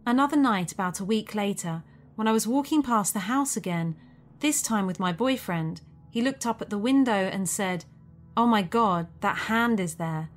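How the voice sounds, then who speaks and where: A woman's voice: medium pitch, normal speed, faint music behind. A woman narrating a spooky first-person story from a script. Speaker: narrator of a creepy true story.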